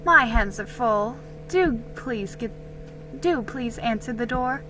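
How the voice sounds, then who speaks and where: A woman voicing a kindly, busy colonial mother in a storybook, warm, exclaiming and gently bossy. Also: on the high side, lively intonation, slow, music in the background, rough recording. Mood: brisk, cheerful.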